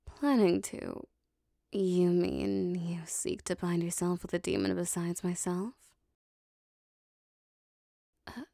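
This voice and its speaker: A woman playing a powerful, possessive female demon devoted to her master, speaking formally and deliberately in an ASMR roleplay. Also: fairly low pitch, steady tone, gravelly, mellow, no echo, studio quality. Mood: jealous, unsettled. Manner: formal, deliberate, intimate phrasing.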